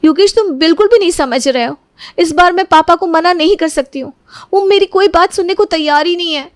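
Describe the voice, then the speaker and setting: High-pitched lovelorn young woman, acted by a woman. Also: brisk, no echo. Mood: pleading. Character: young woman in her twenties, pleading, lovelorn. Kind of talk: cartoon moral-story dialogue.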